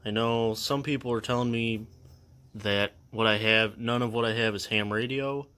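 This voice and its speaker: A young man chatting casually in a vlog, halting and full of fillers, filming indoors at a house. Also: mid-range voice, fairly even intonation, sharp tone. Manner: halting, many fillers, unsure. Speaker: vlogger. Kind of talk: casual show-and-tell vlog.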